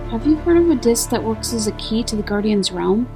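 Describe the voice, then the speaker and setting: A woman's voice: on the high side, animated, brisk, music in the background. A woman playing an inquisitive, earnest female adventurer in a fantasy game, asking questions in a plain, direct way. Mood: curious, earnest. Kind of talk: fantasy game character dialogue.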